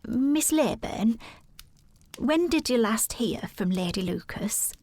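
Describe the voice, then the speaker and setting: High-voiced, formal housekeeper. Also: female voice, animated, slow, darkish tone. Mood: grave, reluctant. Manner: polite, hesitant, formal. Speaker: actress playing a housekeeper. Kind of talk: period audio drama acting.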